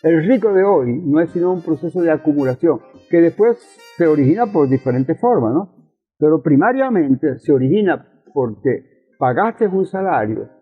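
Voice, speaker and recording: Thin, narrow radio sound with music behind an older Venezuelan man. His voice is husky and he explains politics like a lecturer, posing rhetorical questions and repeating his key phrases. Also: a little high, expressive, normal speed. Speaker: interviewed communist politician and professor. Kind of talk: conversational political explanation in an interview.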